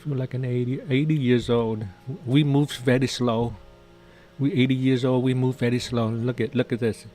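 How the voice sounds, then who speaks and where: Male live-stream trader, mid-pitched voice, casual and jokey, repetitive phrasing, light music underneath. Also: little pitch movement, moderate pace, mellow. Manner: chatty, jokey, repeats phrases and often says "man". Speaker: day trader hosting a live trading stream. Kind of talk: casual live trading commentary.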